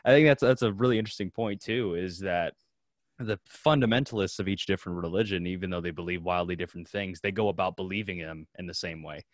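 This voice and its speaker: A man's voice: low voice, quick pace, dry recording, studio quality. A man hosting a podcast, chatting casually and giving his opinions in an informal way. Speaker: podcast host. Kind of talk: casual chat.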